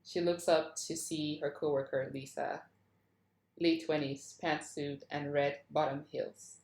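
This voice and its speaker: A woman with a notably low voice reads scene headings and action lines from a script. She delivers scripted narration for a table read, and the room around her is clearly echoey. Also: fairly even intonation, unhurried, darkish tone. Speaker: stage directions reader at a screenplay table read.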